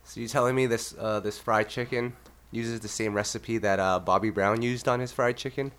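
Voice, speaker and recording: A man's voice: mid-range voice, fairly even intonation, moderate pace, a bit bright, clear, resonant tone. A Canadian man hosting a podcast, congested and a bit nasal from illness, easygoing and laughing often. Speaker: podcast host. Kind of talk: casual chat.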